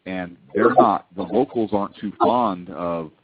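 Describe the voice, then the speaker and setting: A man from Hawaii talking casually about his family, with many pauses and restarts. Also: low-pitched, breathy edge. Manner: halting, full of ums and restarts. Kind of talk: casual chat. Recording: tinny phone-like line.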